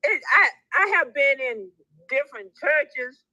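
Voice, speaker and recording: Lively, outspoken woman in her sixties. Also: sits high, measured pace, dry recording. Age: 60s. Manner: animated, outspoken, folksy church talk. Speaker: caller on a live audio show. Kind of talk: casual chat. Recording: dull, lo-fi app audio, dry.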